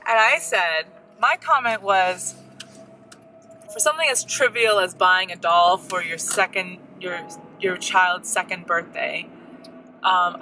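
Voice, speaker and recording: A woman's voice: medium pitch, pushed and bright, slight background noise, poor audio. An American woman co-hosting a family vlog, talking in an earnest, chatty way. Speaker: vlog co-host. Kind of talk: casual chat.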